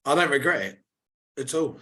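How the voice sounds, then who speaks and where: A man with a slightly hoarse voice, chatting on a podcast in a loose, laddish way. His voice sits at an everyday middle pitch as he tells rowdy stories with plenty of energy. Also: unhurried. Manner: animated, laddish banter. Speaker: podcast co-host. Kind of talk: casual chat.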